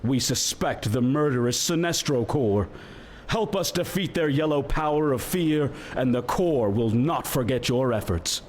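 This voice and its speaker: A man's voice: mid-range voice, lively intonation, a little slow, slight background noise, natural voice. An actor playing an earnest, formal male space-police soldier appealing for help in a video game. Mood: urgent appeal. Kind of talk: mission briefing in a video game.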